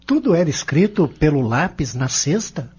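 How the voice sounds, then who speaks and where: A man's voice: a little high, very animated, normal speed, a little mellow, husky, heavily acted. A man playing an eager, inquisitive young man in an audio novel, with a Brazilian accent. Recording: dull lo-fi audio drama recording.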